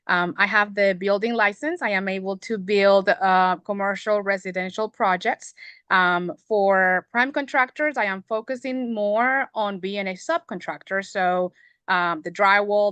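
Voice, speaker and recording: A woman's voice: mid-range voice, fairly bright tone, clear, resonant tone, dry recording. A woman from the Dominican Republic, a construction business owner, introducing herself earnestly on a webinar panel.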